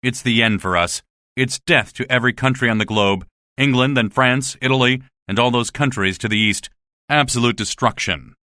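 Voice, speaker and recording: A man's voice: medium pitch, normal speed, bright, forceful tone, no room sound, clean studio sound, lightly acted. A man playing an anxious, earnest survivor in a dramatized story, questioning and incredulous in manner. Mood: alarmed, despairing. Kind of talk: dramatized audiobook dialogue.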